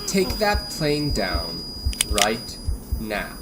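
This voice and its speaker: A man's voice: on the low side, drawn-out words, over a noisy crowd, exaggerated voice. A man playing a cold, murderous hijacker in an old-time radio thriller. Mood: cold threat. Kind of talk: 1930s radio thriller acting. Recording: loud steady hum and noise.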